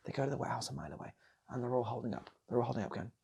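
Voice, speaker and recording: A man improvising a comic story in a soft, breathy ASMR style, often repeating phrases and slipping into whispers. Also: medium pitch, rapid-fire. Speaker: storyteller, channel host.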